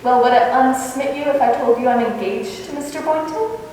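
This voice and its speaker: A woman's voice: sits high, fairly quick, mellow, very echoey, in a large hall, close to an everyday voice. Woman doing a wisecracking schoolmarm in a radio play, dry delivery, far from the mic, faint hiss. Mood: wry. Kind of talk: old-time radio comedy acting on stage.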